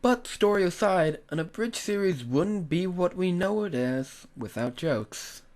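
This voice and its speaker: A man's voice: high voice, animated, a little slow, darkish tone. A man narrating a scripted tutorial as its host, instructing the viewer directly and with emphasis. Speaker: host of a tutorial on making abridged series.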